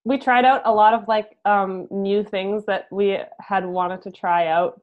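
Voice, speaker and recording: A young Canadian woman chatting casually as an interviewed musician, halting and peppering her talk with "like", on a video call. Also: medium pitch, fairly even intonation. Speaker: band musician being interviewed. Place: room at home. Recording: dull, lo-fi video call audio.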